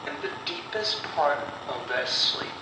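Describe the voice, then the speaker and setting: A man storyteller narrating a scripted horror story in a deliberate way, with dramatic pauses. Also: on the high side, lively intonation, over music. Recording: echoey, distant voice with clear noise.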